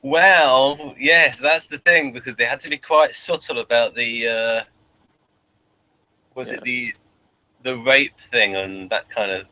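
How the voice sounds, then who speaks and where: Male podcast co-host, forward bright tone, mid pitch, conversational, quick to interject. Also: no echo, poor audio. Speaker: podcast co-host reviewing a TV series. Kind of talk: casual chat.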